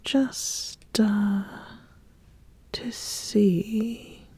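Ambitious, appraising witch played by a woman, ASMR roleplay; breathy, mellow whisper, deliberate and very unhurried. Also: medium pitch, fairly even intonation, no echo, heavily acted. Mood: probing, reserved. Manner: slow, deliberate, measured, with long pauses. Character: ambitious, appraising witch interviewing a would-be apprentice.

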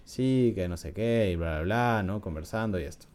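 Low, mellow-voiced man telling a story. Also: normal speed. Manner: chatty, laughs often, frequent "¿no?" tags. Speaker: podcast host. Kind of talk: casual storytelling podcast.

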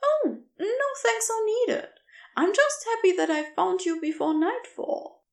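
A woman's voice: very high-pitched, animated, measured pace, gravelly, a little mellow, reverberant, pitched above the performer's own voice, full character voice. A woman voicing a kindly, polite, slightly hesitant man who is eager to help, in a storybook reading. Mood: warm, pleased. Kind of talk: audiobook character voice in fantasy romance.

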